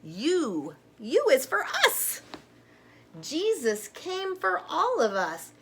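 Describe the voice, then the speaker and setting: A woman's voice: high-pitched, huge pitch swings, slow, a bit bright, a little hiss or hum. A woman storyteller reading a children's story aloud with simple, emphatic phrasing and informal asides to young listeners.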